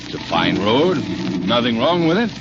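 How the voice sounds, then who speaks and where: Suave young gentleman detective male actor, determined, lively intonation, muffled and very noisy vintage radio recording. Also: mid-range voice, moderate pace, lightly acted. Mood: composed, determined. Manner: composed, urbane. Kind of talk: 1930s American radio acting.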